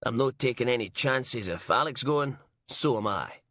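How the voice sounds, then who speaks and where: A man's voice: medium pitch, lively intonation, moderate pace, a bit bright, dry recording, studio quality. A man reading an audiobook and voicing a crude, sarcastic female police inspector. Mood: mocking.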